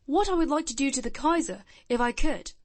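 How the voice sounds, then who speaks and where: A woman reads as a grave little boy of almost ten, talking in a shy, blunt, earnest way. The voice sits high and swings widely up and down. The sound is dry and close. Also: animated, fairly quick, no echo.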